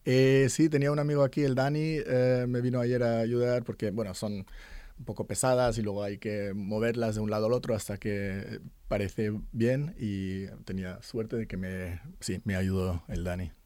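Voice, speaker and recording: Halting middle-aged man, mellow voice. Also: on the low side, fairly even intonation, smooth, no room sound. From Germany. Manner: halting, many fillers and restarts. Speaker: interview guest, artist's son. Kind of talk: conversational interview.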